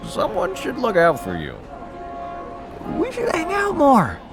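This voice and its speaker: Game's drunk, broke, teasing brother: male, very animated intonation, slow theatrical drawl, music underneath. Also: extremely high pitch, exaggerated voice. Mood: teasing, boisterous. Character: cheeky, tipsy, teasing brother, broke and carefree. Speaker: video game supporting character. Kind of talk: scripted visual novel voice acting.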